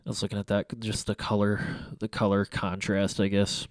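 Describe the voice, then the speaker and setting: A man's voice: lowish voice, monotone, normal speed, no room sound, clean studio sound. A young man with a stuffy, congested nose, casually reviewing his photos in a rambling, hesitant way full of fillers and restarts. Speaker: photography vlogger. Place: small room at home by a window.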